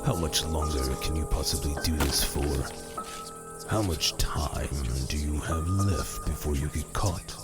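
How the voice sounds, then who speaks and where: An extremely low-voiced ghost of an old killer speaks slowly over loud music, taunting and accusing. He is a menacing mentor in an audio drama. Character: dead murderous mentor haunting his former pupil, menacing, taunting.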